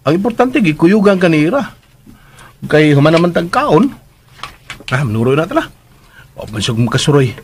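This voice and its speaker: Casting: polite young Cebuano son for radio drama, high male voice, clearly noisy street-like background. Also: big pitch swings, normal speed, long pauses. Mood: easygoing, grateful. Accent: Filipino, Cebuano. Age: young adult. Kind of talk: Philippine radio drama acting. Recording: noisy track, vehicle and ambience behind.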